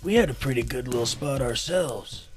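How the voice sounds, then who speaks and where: A man's voice: medium pitch, lively intonation, moderate pace, natural voice. A man playing a bitter, hungry homeless cannibal in an audio drama, telling his tale in a folksy, menacing way. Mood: wry, bitter. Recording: loud music under the voice.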